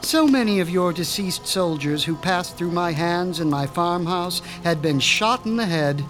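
A sinister mad doctor from a horror radio play, measured and pompous, savoring every cruel detail. His voice sits a little high for a man, with faint music under it. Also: expressive, fairly bright tone. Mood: menacing. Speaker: Dr. Stein. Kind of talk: radio drama acting.

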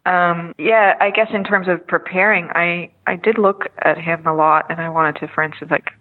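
A Dutch-born actress answering interview questions in a hesitant way, with many fillers and restarts. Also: female voice, fairly low pitch, fairly even intonation, normal speed. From Netherlands. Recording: tinny phone-like line.

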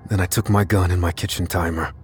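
A man playing a tense but steady lead character who narrates an audio drama in a measured, dramatic way. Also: deep voice, faint music behind, close to an everyday voice. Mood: uneasy, resolute. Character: unassuming armed man hunting a killer, tense but steady.

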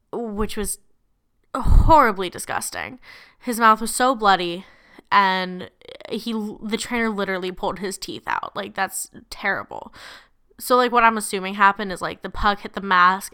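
A woman's voice: mid-range voice, bright, forceful tone, clear, resonant tone. A young woman hosting a casual sports podcast, chatty with many fillers, still getting over bronchitis. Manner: chatty, lots of fillers, laughs easily. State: recovering from bronchitis, lingering cough. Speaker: podcast host.

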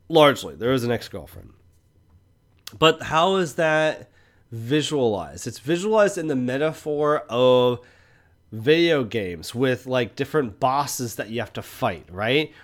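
Male podcast host, medium pitch, informal film-review chat, conversational and question-prone. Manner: conversational, rhetorical check-in questions.

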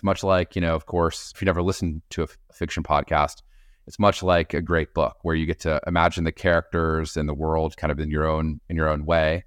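Male interview guest, middle-aged executive type, very low register, smooth tone, measured explanations. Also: dry recording, clean studio sound. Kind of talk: conversational interview answers.

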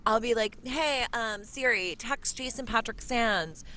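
A woman's voice: on the high side, a little slow, pushed and bright, slight background noise. A woman guest on a podcast, talking in a chatty, rambling way and laughing often, recorded at home with headphones on. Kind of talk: casual podcast chat. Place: home recording setup in a hallway space. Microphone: studio mic with headphones.

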